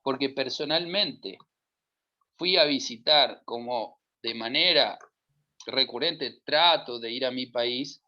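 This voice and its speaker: A man's voice: medium pitch, slow pace. A middle-aged man from Uruguay with a Uruguayan Spanish accent, speaking emphatically on a discussion panel and piling up lists of verbs. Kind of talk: discussion talk. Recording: dull lo-fi audio.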